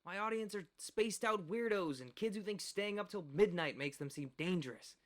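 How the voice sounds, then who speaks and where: A cocky young radio DJ with a bright, forceful voice and very animated pitch. He delivers theatrical, wisecracking late-night patter, full of sarcasm and puns, in a radio drama. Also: moderate pace. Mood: wry, restless. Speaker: radio host.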